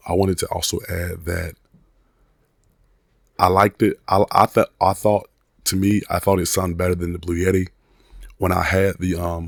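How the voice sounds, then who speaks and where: A hoarse man in his thirties rambles through a casual microphone review from his desk at home. His voice sits low with a gravelly fry, and the sound is close and dry with no room echo. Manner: rambling, many restarts and fillers. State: hoarse, recovering from a cold. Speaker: product reviewer. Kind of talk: casual product review vlog. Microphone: desk condenser USB mic.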